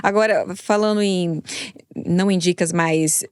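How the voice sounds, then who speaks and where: A woman's voice: on the low side, steady tone, measured pace, clean tone, no room sound, clean studio sound. A woman hosting a casual podcast interview, asking curious questions and laughing easily. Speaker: podcast host.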